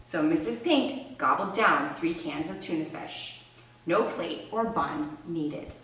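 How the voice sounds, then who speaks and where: A woman with a low voice speaks as a reading tutor and storyteller. Her delivery is expressive and conversational, directed at her listeners. The recording is very echoey and sounds some way from the microphone. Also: slow pace. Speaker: reading tutor and read-aloud presenter. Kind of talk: read-aloud storytelling and instructional explanation. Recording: roomy echo, distant microphone.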